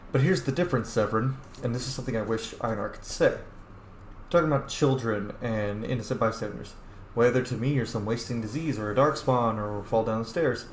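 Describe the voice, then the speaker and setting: Man doing casual commentary in a roomy space. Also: mid-range voice. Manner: informal, sweary, reflective. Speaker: let's play host. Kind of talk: casual roleplay game commentary. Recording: roomy echo, faint background noise.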